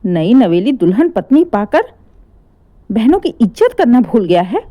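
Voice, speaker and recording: A woman's voice: on the high side, very animated, a little slow, muffled recording. Woman voicing a furious scolding mother. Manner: shouting, scolding. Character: angry middle-aged mother defending her daughters.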